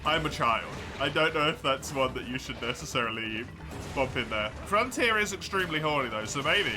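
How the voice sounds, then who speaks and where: A man's voice: mid-range voice, lively intonation, brisk. An English man chatting casually with his live-stream viewers, laughing often, mocking himself and swearing easily. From England. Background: music.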